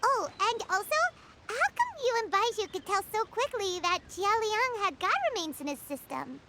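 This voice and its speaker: A woman voicing a small childlike fairy companion in a video game, squeaky, perky and inquisitive. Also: animated, moderate pace, heavy background noise. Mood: sheepish, inquisitive. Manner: refers to self in third person, perky, inquisitive. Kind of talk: cartoonish video game voice acting. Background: music.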